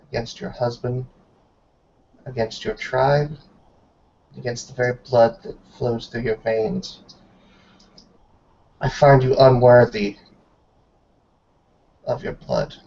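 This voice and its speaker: A stern werewolf elder acted by a man in a tabletop roleplay game. The words come slowly and solemnly, in an even, formal, judging manner. The sound is dull, as over an online call. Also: medium pitch. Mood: solemn, condemning. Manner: grave, deliberate, formal pronouncements.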